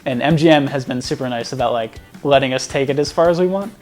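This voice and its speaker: Twenties guy, rambly, uh uh uh, soft music in back. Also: medium pitch, quick pace. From New York area. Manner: rambling with frequent "uh" fillers. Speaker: bug bounty hunter. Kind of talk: casual interview answers. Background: faint music.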